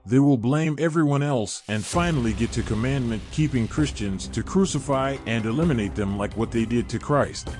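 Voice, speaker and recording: A man's voice: mid-range voice, normal speed, hardly any pauses, a little mellow, faint music behind. A man delivering scripted religious narration in a preachy, denunciatory manner, often posing rhetorical questions.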